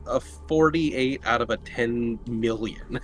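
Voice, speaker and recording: A man's voice: mid-range voice, unhurried, faint music behind, low-quality recording. A man playing a tabletop roleplaying game, chatting and bantering casually as one of the players.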